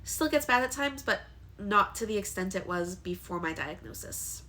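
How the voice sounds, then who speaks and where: A woman's voice: medium pitch, animated, normal speed, fairly bright tone, a little hiss or hum. A young woman with a congested voice from allergies, talking candidly to camera in a personal vlog, hesitant with frequent ums. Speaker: vlogger.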